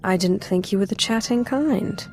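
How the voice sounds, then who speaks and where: An actress plays a cool, commanding female Sith lord from a sci-fi game. She is poised and measured, with a creaky edge and a dryly teasing, flirtatious delivery over faint music. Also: medium pitch, a little mellow, lightly acted. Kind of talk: video game character acting.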